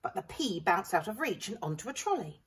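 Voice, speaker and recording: Animated, husky woman reading a storybook. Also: mid-range voice, a little fast. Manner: dramatic, expressive. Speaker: primary school staff member reading aloud. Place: small school library corner indoors. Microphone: camera a few feet away. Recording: slightly distant room camera microphone.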